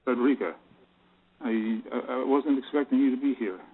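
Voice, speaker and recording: A man acts a nervous boss in an audio play. The voice is creaky and mid-pitched, halting and evasive. It comes through a thin, narrow-band recording. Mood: flustered, evasive. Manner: hesitant, stammering. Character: flustered married bank boss, nervous and evasive. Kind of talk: audio drama acting.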